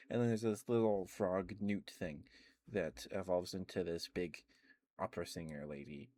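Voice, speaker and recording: A man talking softly in an ASMR stream, rambling with many ums and restarts and now and then gushing with enthusiasm. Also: low voice, fairly even intonation, unhurried. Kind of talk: soft-spoken ASMR rambling.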